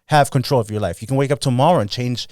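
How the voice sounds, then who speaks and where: A man's voice: medium pitch, fast talker, darkish tone, no echo, studio quality. A man in his thirties co-hosting a podcast, chatting in an upbeat way and often saying "you know" and "dude". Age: 30s. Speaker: podcast co-host. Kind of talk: casual motivational chat.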